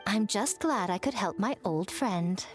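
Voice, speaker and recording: An actress playing an elegant, self-assured shrine head in a video game, speaking in a measured, articulate, teasing way. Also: female voice, mid-range voice, animated, natural voice. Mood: composed, concerned. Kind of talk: English video game voice acting. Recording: faint music behind the voice.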